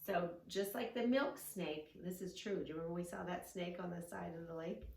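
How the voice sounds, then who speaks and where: A woman reading a story aloud to children and teaching yoga poses with gentle, encouraging praise. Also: lowish voice, brisk, creaky. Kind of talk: read-along story with yoga instructions for children. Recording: roomy, echoing, distant microphone.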